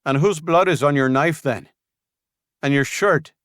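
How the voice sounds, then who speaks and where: A man's voice: a little high, a little slow, fairly bright tone, no echo, studio quality, voice pushed up. A man voicing a blunt, accusing fighter.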